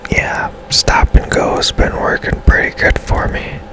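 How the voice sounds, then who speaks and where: A man speaks in a hushed, breathy whisper throughout. His delivery is slow and unhurried, with long, easy pacing. He gives casual ASMR-style commentary as a gaming streamer.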